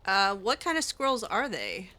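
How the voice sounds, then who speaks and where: A woman's voice: medium pitch, wildly swooping pitch, measured pace, bright, forceful tone. A woman role-playing her character in a video game, improvising her replies in casual, hesitant, conversational phrases. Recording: faint background noise.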